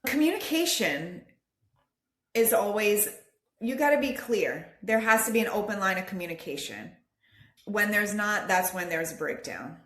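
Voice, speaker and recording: A woman's voice: medium pitch, lively intonation, moderate pace, fairly bright tone, reverberant. A woman guest, an interior designer, explaining her work in a casual, agreeable way.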